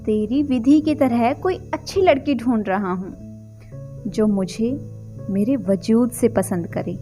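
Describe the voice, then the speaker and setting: A lovelorn rich young man, acted by a woman narrator, chats wistfully with a buddy in a story. The voice is high and unhurried, over faint music. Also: mellow. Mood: wistful, complaining. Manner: casual buddy talk, sighing and wistful.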